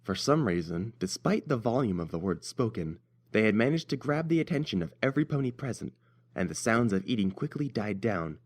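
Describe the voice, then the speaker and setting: A man's voice: lowish voice, big pitch swings, fairly quick, darkish tone. A man reading scripted story narration as the narrator.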